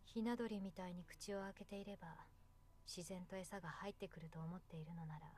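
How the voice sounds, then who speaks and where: A woman's voice: on the low side, very fast, natural voice. A woman playing a cool, blunt, scornful young woman in a Japanese visual novel, delivering hard truths matter-of-factly. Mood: cold scorn. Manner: cold, matter-of-fact.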